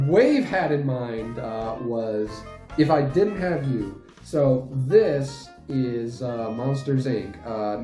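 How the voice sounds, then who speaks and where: A man in his late twenties giving a casual channel update in a halting, rambling way. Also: mid-range voice, dark tone. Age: late 20s. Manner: halting, rambling, frequent chuckles mid-sentence. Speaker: channel host and fan dub group leader. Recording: roomy echo, faint music behind.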